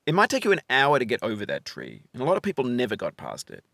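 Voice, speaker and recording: Expressive man narrating, close and dry. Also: mid-range voice, vocal fry, no echo. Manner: measured, philosophical, articulate. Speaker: game developer narrating his own game. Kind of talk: reflective scripted narration, essay-like.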